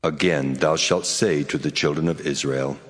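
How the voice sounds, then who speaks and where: A man's voice: a bass voice, fairly even intonation, normal speed. An actor playing God as a solemn, commanding male voice in a dramatized scripture reading. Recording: long reverb, music behind. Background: music.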